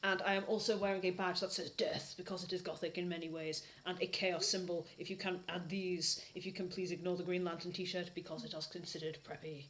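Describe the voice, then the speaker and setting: British female co-host, sharp forceful voice, mock-serious casual banter for a comedy reading show. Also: fairly low pitch, level tone, brisk, few pauses, slight background noise, low-quality recording.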